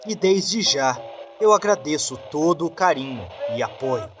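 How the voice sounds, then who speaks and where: A man's voice: fairly high pitch, animated, measured pace. A man, a podcast host making a friendly, direct appeal to his listeners for support. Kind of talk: channel outro asking for support. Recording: music clearly under the voice.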